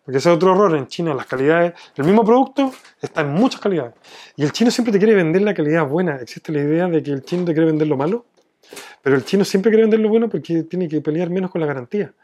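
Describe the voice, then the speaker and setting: A man's voice: a little high, expressive, quick pace, darkish tone. A man from Chile with a Chilean accent, explaining things from his own experience in a conversational interview. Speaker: business owner being interviewed.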